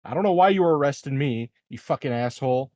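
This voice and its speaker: A man's voice: medium pitch, animated, quick pace, warm tone, no echo, clean studio sound. A man taking part in a tabletop roleplaying game as a player, bantering casually and deliberating aloud with some hesitation.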